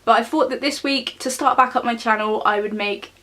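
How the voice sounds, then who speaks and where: A woman's voice: fairly high pitch, a little fast, a bit bright. A woman in her twenties talking to camera in a casual vlog, sounding nasal from a cold, chatty and plain-spoken with many fillers. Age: 20s. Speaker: vlogger.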